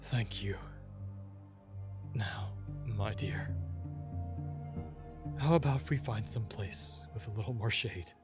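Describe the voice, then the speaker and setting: A man playing a weary, courteous vampire in an audio roleplay, speaking quietly and gently. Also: lowish voice, slow pace, pauses often. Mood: weary, tender. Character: weary, courteous male vampire, soft-spoken and intimate. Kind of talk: ASMR audio roleplay drama. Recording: prominent music over quiet voice.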